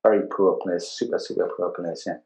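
An older man from northeast England with an English accent, talking casually as an interview guest, explaining haltingly and repeating words and phrases. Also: low-pitched, steady tone, vocal fry, warm tone. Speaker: interview guest, advertising art director. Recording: roomy, echoing sound.

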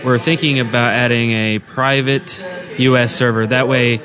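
A man with a mid-range voice explains things on a podcast. His delivery is casual and conversational, and music plays clearly in the background. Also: mid-range voice, little pitch movement, moderate pace. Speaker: podcast co-host. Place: indoors at a LAN party.

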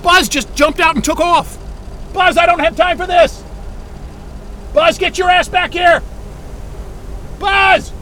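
A man's voice: extremely high pitch, steady tone, takes it slowly, long pauses, sharp tone, a little hiss or hum, heavily acted. A man playing a frantic, foul-mouthed man on the run in an audio drama, urgent and prone to exclaiming. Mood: panicked.

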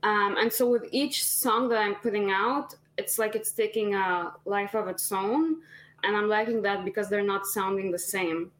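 A woman's voice: medium pitch, fairly even intonation, normal speed, a bit bright. A woman in her twenties, a singer-songwriter guest on a podcast, talking casually with lots of fillers and restarts. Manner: chatty, many fillers and restarts.

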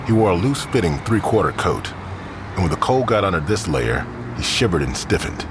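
A man's voice: low voice, big pitch swings, fairly quick, noisy background. A man reading scripted crime fiction as the narrator.

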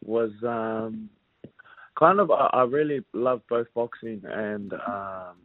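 A young man from New Zealand talks in an easygoing, halting way, full of ums and restarts. His voice sits a little low. It comes through a narrow, phone-like line with no room sound. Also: dry recording. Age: young adult. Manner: relaxed, halting, many fillers and restarts. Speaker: rugby league player, guest. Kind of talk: casual interview chat.

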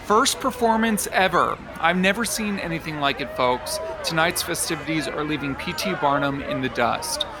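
An actor playing an effusive, arch theater gossip reporter in an audio drama, gushing like a red-carpet commentator. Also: male voice, fairly high pitch, close to an everyday voice. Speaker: entertainment reporter.

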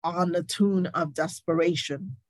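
A woman's voice: lowish voice, fairly even intonation, unhurried, a little mellow, dry recording. A woman speaking as a nurse guest in a candid interview, emphatic and indignant, repeating points for stress. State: recovering from covid, stuffy.

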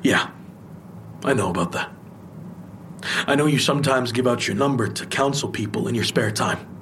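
A man's voice: mid-range voice, normal speed, a bit bright, lightly acted. Actor playing an intense, wounded man, noisy background. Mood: pained, insistent. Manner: earnest, insistent, confessional. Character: intense, wounded man, trauma survivor confiding in a friend. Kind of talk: naturalistic audio drama acting.

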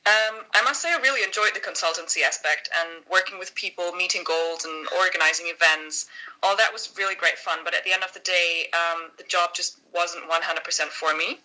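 Bright-voiced German woman. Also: on the low side, brisk, rough recording. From northern Germany. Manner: friendly, laughs often, reflective. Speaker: guest academic. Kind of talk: podcast interview.

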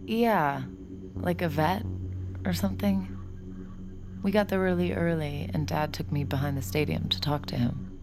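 A woman's voice: low-pitched, normal speed, pauses often, background noise. A woman acting a guarded, teasing young woman in a fiction podcast, speaking in a casual, dry, understated way. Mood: wary, questioning.

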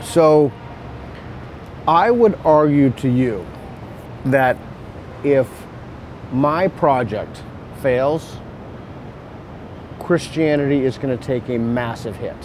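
Male guest, mid-range voice, earnest and deliberate, faint traffic behind. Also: medium pitch, expressive, a little mellow. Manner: earnest and deliberate, searching for words. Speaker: guest on a discussion panel. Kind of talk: casual roundtable discussion. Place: seated at a table in a city.

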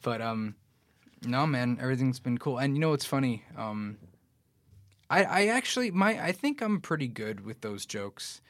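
A man's voice: mid-range voice, animated, normal speed, a bit bright, no room sound, clean studio sound. A young man from New York City, an actor guest on a podcast, chatting casually with lots of fillers and swearing. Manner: loose and profane, many fillers.

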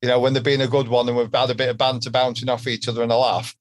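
A man with a Yorkshire accent hosting a casual podcast chat, talkative and quick to laugh. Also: medium pitch, little pitch movement, no room sound. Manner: chatty, laughs easily, swears casually. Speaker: podcast host.

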